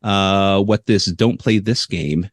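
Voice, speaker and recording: Deep-voiced male podcast co-host. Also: takes it slowly, no room sound, studio quality. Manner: rambling, hesitant, joking. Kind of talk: casual podcast interview.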